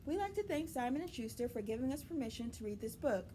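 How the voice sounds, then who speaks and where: A woman hosting a children's story time, reading a picture book aloud in a deliberate, expressive way and speaking directly to young listeners. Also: sits high, slight background noise.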